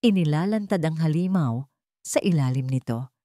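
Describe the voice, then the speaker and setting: Low-voiced woman narrating dramatic fiction. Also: animated, moderate pace, dark tone, dry recording, studio quality. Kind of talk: dramatic scripted story narration.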